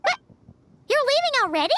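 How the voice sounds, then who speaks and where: A tiny, childlike fairy sidekick, piercingly high and squeaky. The delivery is bright, eager and exclamatory, swinging up and down like an excitable video game companion. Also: female voice, fairly quick, a little hiss or hum, heavily acted. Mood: dismayed. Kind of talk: scripted video game dialogue.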